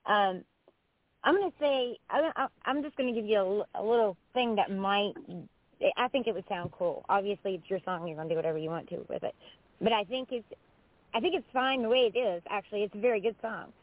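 A woman host asks long, winding questions, circling back and restarting as she goes. Her voice sits in a middle pitch and comes through thin and phone-like, with a dry, close sound. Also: fairly quick, no room sound. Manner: chatty, rambling questions with restarts. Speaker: podcast host. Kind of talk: casual interview chat. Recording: tinny phone-line sound.

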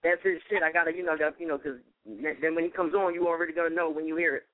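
A man with a Philadelphia accent talks easily as a guest on a radio show. His voice sits a little high, and his slang is relaxed. The sound comes through thin, like a phone line. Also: fast talker. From South Philadelphia. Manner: laid-back street slang, easygoing. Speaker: guest musician. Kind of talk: casual interview chat.

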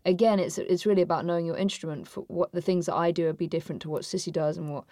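Husky woman, low voice, chatting as a voice actor guest, kinda thoughtful, dry close sound. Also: steady tone, rapid-fire, dark tone, dry recording. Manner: thoughtful, with frequent restarts. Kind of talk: conversational interview answers.

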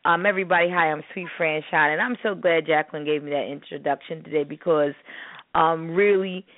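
A woman's voice: low-pitched, fairly even intonation, fairly quick, no room sound. Chatty woman co-host on a thin phone line. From Jamaica. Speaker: co-host, spoken word artist. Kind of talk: casual chat. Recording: dry, tinny phone line.

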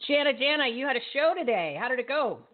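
A woman's voice: high-pitched, animated, fast talker. A woman host with a New Jersey accent, chatting on a live online show, often restarting sentences and laughing. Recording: phone-like narrow audio.

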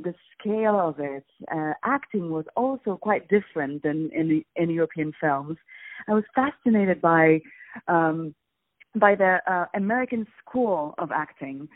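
Woman from Poland on a tinny phone line. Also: lowish voice. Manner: thoughtful, many ums and pauses. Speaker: guest actress. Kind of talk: phone interview. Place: on a phone call. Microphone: telephone.

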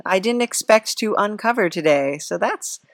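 A woman's voice: mid-range voice, expressive, moderate pace, no room sound, clean studio sound. A woman hosting a comic interview in a casual, rambling, hesitant way with a wry touch. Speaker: podcast host, interviewer.

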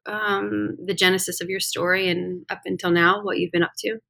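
Woman interviewer, low, even voice with gravelly edges. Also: moderate pace. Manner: asking an open question.

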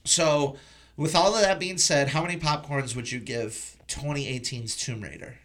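A man's voice: a little high, normal speed, fairly bright tone. A man hosting a podcast, chatting casually and informally about films in a loose conversational way. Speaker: podcast host. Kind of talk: casual film review chat.

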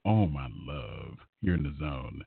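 A man's voice: extremely low pitch, expressive, a little slow, vocal fry. A man hosting a radio music interview. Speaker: radio host. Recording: muffled, narrow phone-like sound.

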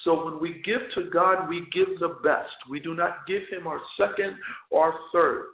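A man preaching a radio sermon as chaplain and host, hoarse and losing his voice, often stopping to restart or repeat words. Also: a little high, steady tone, moderate pace. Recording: tinny phone line, echoey.

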